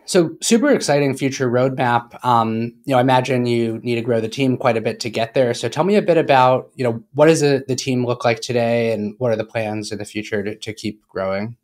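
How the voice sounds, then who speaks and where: A man's voice: medium pitch, brisk, few pauses, darkish tone. A young man hosting an interview over a video call, asking friendly, upbeat questions through earbuds. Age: young adult. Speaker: podcast host.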